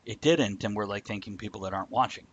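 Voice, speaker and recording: A man's voice: fairly low pitch, quick pace, slightly rough. Casual man with dull lo-fi sound. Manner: joking, bantering. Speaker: livestream co-host. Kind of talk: casual livestream chat. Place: indoors, live stream.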